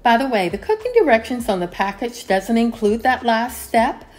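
A woman narrating a home cleaning vlog as a calm, scripted voice-over, steady and homey, with lines that fall at the end. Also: a little high, normal speed, faint music behind. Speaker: cleaning vlogger.

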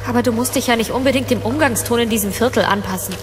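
A woman's voice: a little high, fast talker, background noise, natural voice. A young actress playing a sceptical, sarcastic young mother in naturalistic audio drama dialogue. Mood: dry, teasing. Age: young adult. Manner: dry, teasing sarcasm. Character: sceptical, sarcastic young mother apartment-hunting with her boyfriend. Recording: studio audio drama with music underneath.

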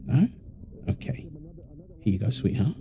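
A man playing a doting, playful young husband and proud father in a wholesome audio roleplay, soft and affectionately teasing. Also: medium pitch, sing-song, unhurried, creaky, noisy background, heavily acted.

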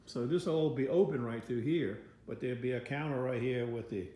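A man's voice: medium pitch, fairly quick, mellow. An older man with an American accent gives a casual, rambling walk-through in a folksy, plain-spoken way, as a vlogger filming on his phone. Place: inside a house under renovation, later outdoors. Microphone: handheld phone. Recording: echoey rooms, background noise, handheld.

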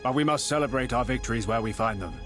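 An actor playing a stern older high admiral in a sci-fi game, speaking in a formal, resolute, grandiose way. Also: male voice, fast, music in the background, natural voice. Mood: grave, measured. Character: commanding stern older male high admiral of a space fleet. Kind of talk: dramatic video game voice acting.

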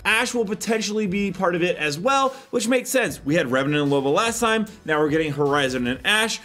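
High-pitched man doing gaming commentary. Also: a bit bright. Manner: conversational, chatty asides. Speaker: gaming news YouTuber. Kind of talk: casual scripted news commentary over gameplay.

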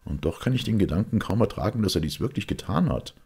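A man's voice: fairly low pitch, expressive, fast talker, gravelly, dark tone, husky, pitched above the performer's own voice, full character voice. A man playing a horrified, shaken companion of a detective, groaning in dismay in an audiobook.

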